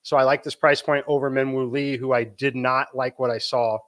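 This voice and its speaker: A man giving golf betting analysis in a measured, analytical way. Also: mid-range voice, level tone, fairly bright tone. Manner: measured, analytical, explains his pick.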